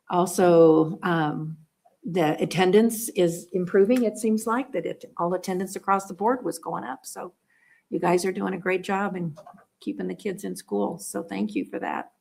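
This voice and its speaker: A woman's voice: fairly low pitch, measured pace, creaky, darkish tone, slightly rough. A woman serving as school board president, giving encouraging thanks at a board meeting. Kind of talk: formal remarks at a public meeting.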